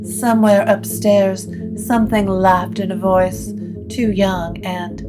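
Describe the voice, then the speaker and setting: A woman narrating scripted supernatural fiction in a measured, literary storytelling manner. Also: mid-range voice, level tone, over music.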